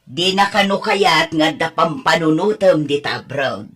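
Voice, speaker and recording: A woman playing a husky, slow-spoken old mother. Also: low-pitched, animated, a bit bright, heavily acted. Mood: worried. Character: worried elderly mother-in-law. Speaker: mother-in-law of the husband's wife.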